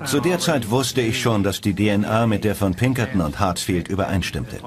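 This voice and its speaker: Casting: male actor as documentary investigator, lowish voice with animated intonation, matter-of-fact. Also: moderate pace, lightly acted. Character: male investigator recounting a cold case. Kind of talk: documentary voice-over dub. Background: prominent music.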